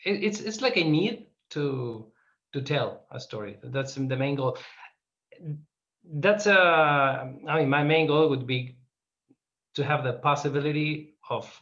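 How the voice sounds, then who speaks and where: A man's voice: medium pitch, vocal fry, fairly bright tone. A middle-aged man with an Argentinian accent, a native Spanish speaker, answering informally and hesitantly as he searches for words. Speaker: interview guest, filmmaker. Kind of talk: informal interview over video call. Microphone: video call. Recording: slightly muffled video-call audio.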